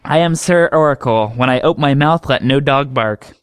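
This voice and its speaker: A man's voice: mid-range voice, normal speed, clear, resonant tone, dry recording, clean studio sound, voice pushed up, close to an everyday voice. A man doing a pompous, skeptical doctor. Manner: pompous, dismissive.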